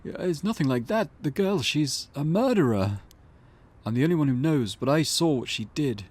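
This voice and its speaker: A man's voice: on the high side, lively intonation, moderate pace, a little mellow, slightly rough, voice pushed up. A man voicing a frightened, pleading male client with a nervous stammer, reading visual novel dialogue. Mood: fearful, insistent.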